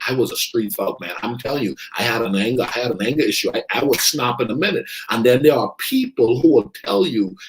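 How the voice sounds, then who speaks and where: A man's voice: on the low side, rough recording. A man from the Bahamas giving an emphatic motivational talk as a coach, repeating his key phrases for weight. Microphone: online video stream.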